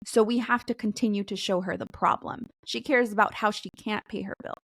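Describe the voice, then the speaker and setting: A woman's voice: medium pitch, fairly even intonation, a little fast, a bit bright, husky, dry recording, rough recording. Woman in her 40s, guest on a money podcast, earnest explainer, close dry sound. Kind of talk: podcast interview.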